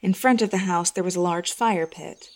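Woman narrating a scary story. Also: fairly low pitch. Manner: measured, expressive storytelling. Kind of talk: scripted first-person horror fiction narration.

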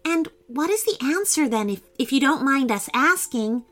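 A woman's voice: high voice, lively intonation, moderate pace, fairly bright tone, pitched above the performer's own voice. A woman voicing a polite young mermaid. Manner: polite, a little hesitant.

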